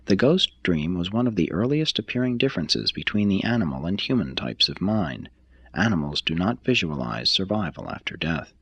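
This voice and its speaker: Male narrator, very low pitch, husky edge, lively intonation, scripted reading, measured formal phrasing. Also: dry recording. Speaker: reader of a text.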